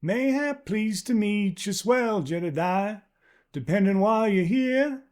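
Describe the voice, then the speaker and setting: A man's voice: sits high, expressive, takes it slowly, a little mellow, voice pushed up. A man voicing a proud, tall older frontier woman with a worn, well-used voice and old-fashioned folksy speech. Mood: wary.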